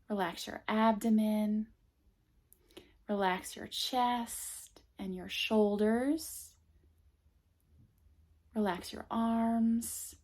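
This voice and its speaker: A woman yoga teacher leading a guided meditation in soothing, gentle short phrases, with a soft voice that turns breathy at times. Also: medium pitch.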